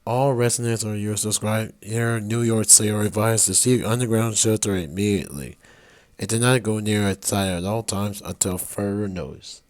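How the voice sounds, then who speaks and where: A man's voice: lowish voice, fairly even intonation, moderate pace, darkish tone, no room sound. A man's synthetic-sounding voice reading a scripted emergency broadcast announcement in a formal, stilted manner.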